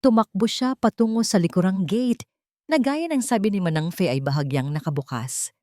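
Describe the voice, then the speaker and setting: A woman reading a romantic drama story as narrator, in a dramatic, expressive scripted style. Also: medium pitch, moderate pace, no echo, studio quality.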